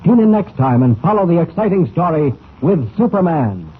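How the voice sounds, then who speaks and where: A man's voice: a little high, very animated, normal speed, breathy edge. A man announcing and narrating a 1940s radio serial in a grandiose, suspenseful, emphatic style. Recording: old narrow-band radio recording, noisy.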